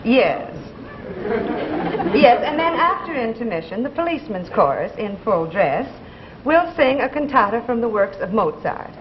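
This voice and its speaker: A snooty, genteel receptionist in a 1950s radio comedy. Her formal voice is fairly high and very melodic, with an audience behind her on a muffled old recording. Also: sing-song, normal speed, some chatter behind. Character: prim, snooty woman receptionist at posh police station. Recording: dull, noisy radio recording, studio audience.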